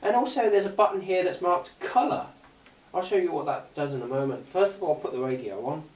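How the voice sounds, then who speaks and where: Male British gadget reviewer, hesitant and off-the-cuff, slightly high voice, picked up from a distance with faint noise. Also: fairly quick, a little mellow, reverberant. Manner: hesitant, rambling, unscripted. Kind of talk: casual product demonstration.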